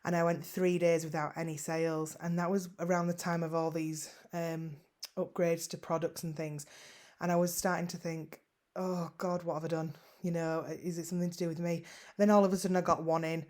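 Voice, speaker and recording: A middle-aged woman with a West Yorkshire accent talks in a fairly low voice. She chats casually and modestly, with frequent ums and repeated words. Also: darkish tone. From West Yorkshire, England. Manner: chatty, self-deprecating, many ums and repeated words. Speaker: online seller vlogger. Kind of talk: casual screen-share vlog.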